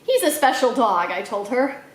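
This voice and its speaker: Female storyteller, high lively voice, expressive children's read-aloud narration, roomy echoey sound. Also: moderate pace. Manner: expressive, acts out dialogue. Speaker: library storyteller reading a children's novel aloud.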